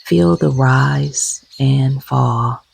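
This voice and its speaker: A woman leading a guided meditation gently and soothingly, with soft, breathy, husky tones and long unhurried pauses. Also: a bass voice, low-quality recording.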